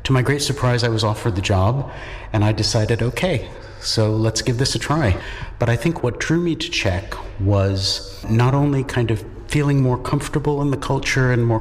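A man in his fifties, a professor being interviewed, answering in a measured, reflective way with occasional hesitations. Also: mid-range voice, slight background noise. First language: English. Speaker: interviewed professor of Czech linguistics. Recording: long hall reverb.

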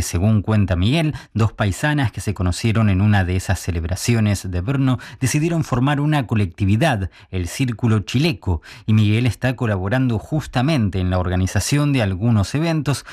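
A man narrates a radio feature in a scripted, measured way. His voice sits fairly low and flows smoothly from line to line. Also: a little mellow. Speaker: radio reporter.

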